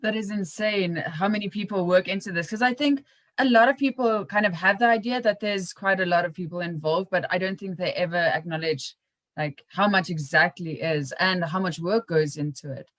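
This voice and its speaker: A woman's voice: lowish voice, moderate pace, a bit bright. A woman hosting a podcast, asking questions in a casual, encouraging and enthusiastic way. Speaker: podcast host, interviewer. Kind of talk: casual interview chat.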